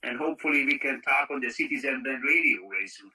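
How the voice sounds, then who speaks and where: A man checking in to a radio net by phone app, chatting casually and haltingly. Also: medium pitch, expressive, bright, forceful tone, husky. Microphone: talking into a phone through a walkie-talkie app. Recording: harsh, distant walkie-talkie app audio.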